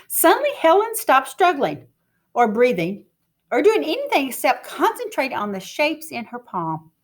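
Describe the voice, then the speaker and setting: A woman reading a story aloud in an expressive, dramatic teacher's storytelling manner. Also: medium pitch.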